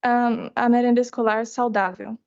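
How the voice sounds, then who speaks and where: A woman's voice: a little high, flat delivery, a little fast, creaky, darkish tone, clear, resonant tone. A teenage girl, a native Portuguese speaker, giving testimony as a student in short phrases. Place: committee hearing. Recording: dull, muffled audio.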